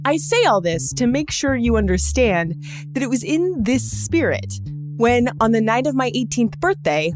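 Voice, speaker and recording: A woman hosting a podcast, reading scripted narration in a conversational storytelling style with wry, joking asides. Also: a little high. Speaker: podcast host.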